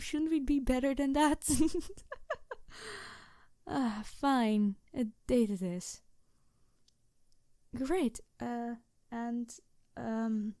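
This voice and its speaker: A snarky supervillainess , acted by a woman, speaks in a fairly high voice on a very dry recording. She is passionate and easily flustered, sardonic yet secretly tender, talking haltingly to her nemesis in an ASMR audio drama. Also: warm tone, no room sound. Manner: halting, sighing, emotionally candid, sardonic. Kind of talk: ASMR audio roleplay monologue.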